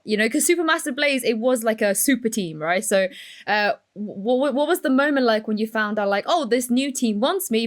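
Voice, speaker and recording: Female host and interviewer, slightly high voice, informal conversational delivery. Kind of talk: casual talk-show interviewing.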